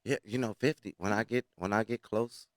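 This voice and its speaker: Man, lowish voice, bragging, teasing banter, dry recording. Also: fairly quick, dry recording. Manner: boastful, teasing, frank. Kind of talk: casual chat.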